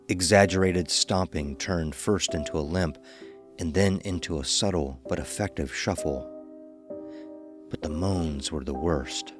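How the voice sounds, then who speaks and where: A man with a deep voice narrates a horror story from a script. Faint music plays behind him.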